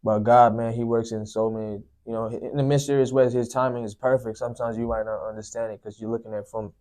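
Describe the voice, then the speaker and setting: A young man from Sri Lanka, a guest telling his life story in a soft, unhurried way, often filling with "you know, man". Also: mid-range voice, level tone. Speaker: guest sharing testimony. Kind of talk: personal testimony, casual storytelling.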